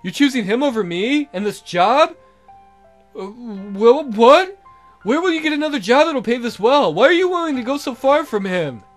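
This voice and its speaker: Spurned boss in a romance game, acted by a male player: high-pitched, agitated, incredulous, music behind. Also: very animated, normal speed. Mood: shocked, hurt. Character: possessive, wounded male boss in love.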